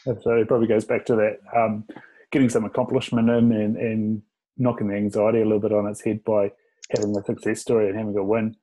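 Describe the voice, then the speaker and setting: Easygoing male podcast host, mellow tone. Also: on the low side, flat delivery, quick pace, rough recording. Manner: easygoing questions and agreeing remarks. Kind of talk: casual interview chat.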